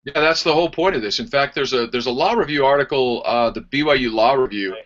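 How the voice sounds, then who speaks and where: A man gives explanatory answers as a radio guest. He talks quickly at a medium pitch, and the audio is a little dull. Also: a bit bright. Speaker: state representative, radio guest. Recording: slightly dull, lo-fi line.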